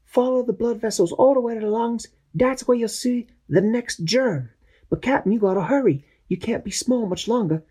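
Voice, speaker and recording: A man's voice: extremely high pitch, dark tone, husky, pitched above the performer's own voice. A man voicing a brave, eager young crew member in a bedtime story. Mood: urgent. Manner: eager, loyal, quick replies. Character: brave boyish crew member with medicine gun.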